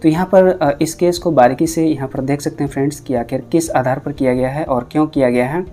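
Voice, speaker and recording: Dark-voiced Indian man reading news updates. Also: a little high, steady tone, brisk, soft background music. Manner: repeats and rephrases points to explain. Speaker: host of an exam and job news channel. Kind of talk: news update narration, reading and explaining reports.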